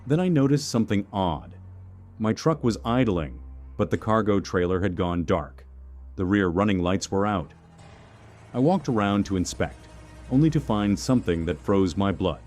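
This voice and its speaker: A man reads a scripted first-person horror story in a measured, suspenseful way, using short clipped phrases. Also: low voice, a little mellow. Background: music under the voice.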